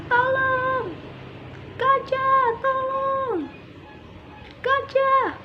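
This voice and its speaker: Young woman narrator, very high voice, unhurried fable reading, road traffic heard behind. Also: lo-fi sound. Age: young adult. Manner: slow, deliberate storybook telling. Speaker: student storyteller. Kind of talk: reading a children's fable aloud. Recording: noisy background with passing traffic.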